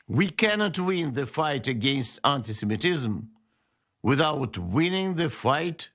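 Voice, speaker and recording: A man delivers a prepared speech at a memorial ceremony, slow and emphatic. His voice sits slightly high for a man, with a gravelly fry through it. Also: fairly bright tone. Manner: deliberate, emphatic.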